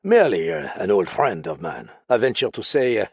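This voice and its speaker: A male reader plays a suave storybook detective. The delivery is measured and teasing, with wry pauses, a husky grain and lively swings in pitch. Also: dry recording. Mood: probing, brisk. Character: suave male detective, soothing, slyly amused.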